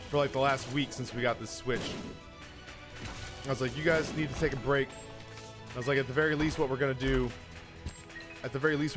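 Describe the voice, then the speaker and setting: Man, medium pitch, casual livestream storyteller, animated, self-deprecating, sweary, comedic, faint background music. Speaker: live streamer telling personal stories. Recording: music behind the voice.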